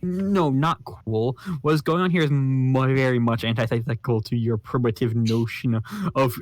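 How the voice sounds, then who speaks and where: A man's voice: mid-range voice, fairly even intonation, normal speed, dry recording, natural voice. A man voicing an abrasive, foul-mouthed young male troll who rants pompous insults, reading a comic aloud. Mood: scornful. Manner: insulting, ranting, pompous wordplay. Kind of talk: comic read-along voice acting.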